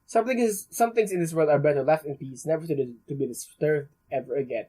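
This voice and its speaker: Higher-voiced young male gamer reading aloud. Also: warm tone, husky. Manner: halting, stumbles while reading. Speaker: let's play gamer. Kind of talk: reading game text aloud with casual commentary.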